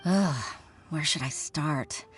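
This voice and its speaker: A woman voicing a respectful but weary junior office worker in a video game, in a measured manner. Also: low voice. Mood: exasperated, weary. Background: music.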